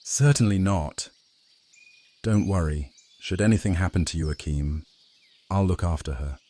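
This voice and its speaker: A man playing a composed, courteous, secretive vampire officer in a fantasy audio drama, measured and formal. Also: low-pitched, mellow.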